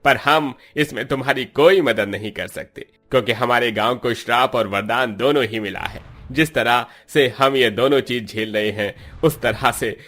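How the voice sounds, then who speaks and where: Man as cartoon village headman, older, mid-pitched male voice, firm, paternal, emphatic, blunt. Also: moderate pace, few pauses, bright, forceful tone. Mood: apologetic. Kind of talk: Hindi cartoon story voice acting.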